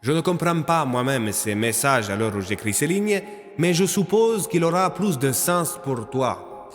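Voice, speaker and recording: A man playing an affectionate friend voicing his letter in an audio drama, measured and expressive, with long reverb and music. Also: mid-range voice, close to an everyday voice. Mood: affectionate, mysterious. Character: male friend reading his own letter aloud, affectionate.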